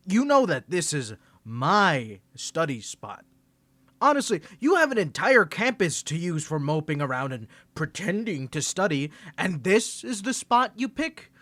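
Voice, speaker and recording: A smug, teasing college rival, played by a young man in an ASMR roleplay. His voice is bright and lively, his delivery slow and sarcastic. The sound is dry and close to the mic. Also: on the high side, no room sound.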